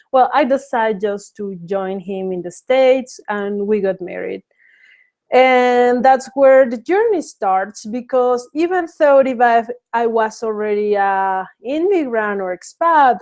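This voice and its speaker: A woman's voice: on the high side, darkish tone. A woman from Mexico in her thirties hosting a casual webinar, speaking hesitantly with many fillers and restarts. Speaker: webinar host.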